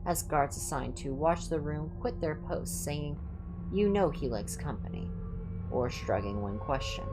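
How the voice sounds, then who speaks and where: A woman livestream host reading horror fiction aloud like a clinical report, in a measured, deliberate way. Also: sits low. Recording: background music under the voice. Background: music.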